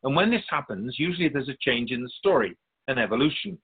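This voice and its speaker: A man playing a wise, wry otherworldly spirit guide in a radio drama interview, measured and philosophical. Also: medium pitch, big pitch swings, slightly rough, narrow, tinny audio. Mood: earnest, probing. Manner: measured, philosophical, gently admonishing.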